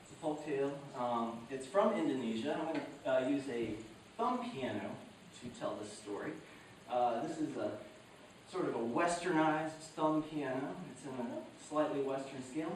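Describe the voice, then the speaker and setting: A man's voice: mid-range voice, normal speed, a little hiss or hum. A man telling stories live to listeners in an informal, folksy style. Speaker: storyteller and musician. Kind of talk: live folk-tale storytelling, informal introduction. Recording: very echoey, distant microphone.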